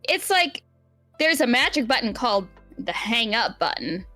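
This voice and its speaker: A woman's voice: on the high side, sing-song, moderate pace, dry recording. A woman co-hosting a casual podcast, blunt and exasperated, swearing freely. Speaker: podcast co-host. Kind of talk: casual chat. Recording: soft background music.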